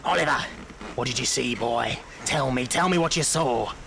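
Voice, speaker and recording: Gravelly, wily old thief-master. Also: male voice, mid-range voice, bright, forceful tone, a little hiss or hum. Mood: menacing probing. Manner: coaxing, drawn-out, faintly menacing. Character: wily old male leader of child pickpockets. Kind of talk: audio drama acting.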